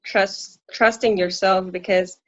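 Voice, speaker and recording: A woman's voice: medium pitch, fairly even intonation, slow pace, no room sound. A woman from Puerto Rico with a Puerto Rican accent, Spanish her first language, talking earnestly and hesitantly with many restarts in a coaching session. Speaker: coaching client, working mom. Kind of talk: live coaching conversation. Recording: dull lo-fi dry audio.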